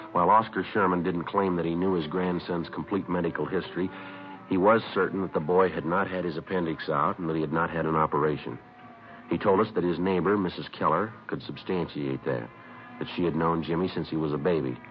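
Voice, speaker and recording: An actor playing a businesslike police sergeant, narrating a 1950s radio crime drama in a clipped, understated, matter-of-fact way. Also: male voice, rumbling low. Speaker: detective narrator. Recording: dull vintage radio recording.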